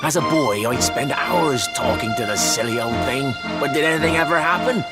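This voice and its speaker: A man voicing a kindly, talkative woodcutter in a video game, with a folksy, courteous and expressive manner. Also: medium pitch, moderate pace, over loud music, lightly acted. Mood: wistful, animated. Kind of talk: video game character acting.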